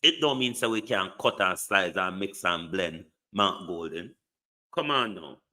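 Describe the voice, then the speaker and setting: A man's voice: low-pitched, lively intonation, measured pace, sharp tone. A man giving an emphatic political commentary monologue, full of repeated rhetorical questions. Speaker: online commentator.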